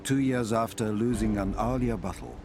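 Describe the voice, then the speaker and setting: A man narrating samurai wars for a video game cutscene as a grave chronicler, in measured, dramatic storytelling. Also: medium pitch, fairly even intonation, natural voice. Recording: music under the narration. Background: cinematic music.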